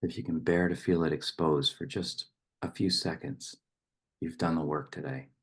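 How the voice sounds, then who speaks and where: A man reading poetry and leading a guided meditation in a gentle, unhurried manner with long pauses. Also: extremely low pitch, fairly even intonation, breathy edge.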